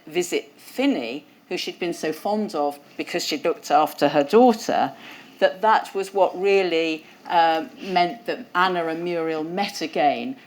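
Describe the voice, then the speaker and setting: A woman with a lowish voice hosts an exhibition launch. She talks conversationally into a lapel mic, with some fillers along the way. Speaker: event host. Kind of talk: hosting a museum talk. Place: event hall.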